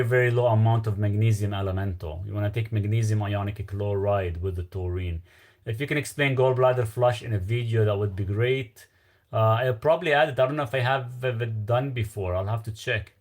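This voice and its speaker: A man with a Lebanese accent hosting a casual live stream, reading viewer questions aloud and answering in a loose, rambling way. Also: medium pitch. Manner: loose and rambling, trailing off with fillers.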